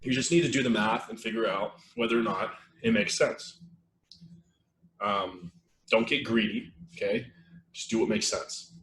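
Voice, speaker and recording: Brief man, low voice, throaty and strained, casual rambling webinar host, echoey room, faint hiss. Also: moderate pace. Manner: relaxed, rambling, many fillers and restarts. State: losing his voice, clearing throat. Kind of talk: casual live webinar talk.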